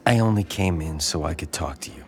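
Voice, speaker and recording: Low, hesitant, lonely man played by an actor. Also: natural voice. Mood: awkward, evasive. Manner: hesitant, halting, subdued. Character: lonely, withdrawn small-town man, awkward and gloomy. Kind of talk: naturalistic audio drama dialogue.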